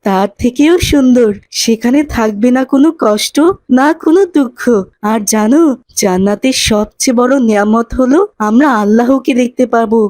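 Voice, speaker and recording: A woman voicing an earnest, pious boy who explains things gently in a cartoon. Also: a little high, moderate pace, no room sound, natural voice.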